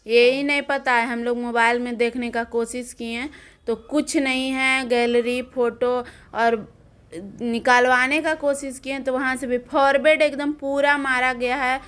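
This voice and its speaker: A woman's voice: high-pitched, level tone, unhurried, fairly bright tone, clean tone. A woman with a Bihari accent answering an interviewer in plain colloquial speech, insistent and repeating herself. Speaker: interviewee, bereaved relative.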